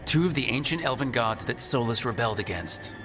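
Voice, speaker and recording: In a long, reverberant space with music underneath, a composed mage hero. He speaks at a middle pitch, measured and serious, like a resolute leader in game dialogue. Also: expressive, sharp tone. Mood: grave, insistent. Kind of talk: video game dialogue acting.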